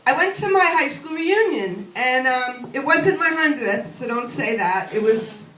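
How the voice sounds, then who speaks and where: An older woman with a high, bright voice rambles through a stand-up set, making self-deprecating asides to the crowd. The recording sounds roomy and echoey, with her voice set back from the mic and a faint hum of ambience behind her. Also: lively intonation, measured pace, recorded from a distance. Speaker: stand-up comedian. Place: comedy club stage.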